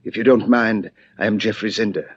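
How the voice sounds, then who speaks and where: Male actor as oily, formal antiques dealer, 1950s radio drama: low, raspy, dull recording. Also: fairly even intonation, fairly quick, close to an everyday voice. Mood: oily, courteous. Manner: precise, formal, pompous politeness. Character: urbane, sly, insinuating male villainous antiques dealer. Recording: muffled old radio recording.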